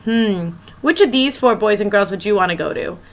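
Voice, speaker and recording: A woman's voice: medium pitch, animated, moderate pace. A woman schoolteacher reading a children's picture book aloud, expressively and as if speaking directly to young listeners.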